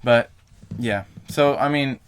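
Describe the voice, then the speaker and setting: A man's voice: medium pitch, slow pace, smooth. A young man vlogging to camera in a casual, rambling way, full of fillers and self-corrections.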